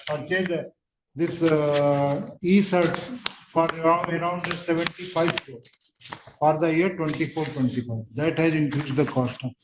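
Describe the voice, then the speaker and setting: A man's voice: on the high side, steady tone, a little slow. A man with an Indian accent explaining company matters as an executive on a conference call. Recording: echoey room, clear background noise, clicks.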